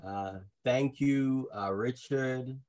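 A man raised in Jamaica and Trinidad, preaching a sermon to a congregation over a video call, earnest and halting, with frequent restarts. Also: medium pitch, darkish tone.

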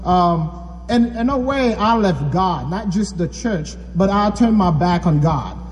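A man's voice: high-pitched, lively intonation, unhurried, long reverb tail. A man from Haiti preaching a sermon, talking conversationally and asking the audience questions. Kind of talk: church sermon.

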